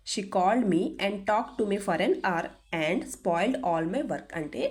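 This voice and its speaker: A young woman with a Telugu accent leads an English lesson at a mid-range pitch. She reads each example sentence slowly and deliberately, spelling out every word, then explains its meaning. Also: a little mellow. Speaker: English teacher. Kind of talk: language lesson narration.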